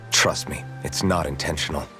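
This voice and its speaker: An actor playing a guilt-ridden young male warrior in a video game, speaking gravely and with self-reproach. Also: rumbling low, steady tone, normal speed, over music. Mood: troubled, pensive. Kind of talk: dramatic video game voice acting.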